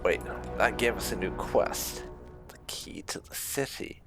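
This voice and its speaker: Deep, slow, gravelly male gamer. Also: music in the background. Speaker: gaming video host. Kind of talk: casual roleplay playthrough commentary.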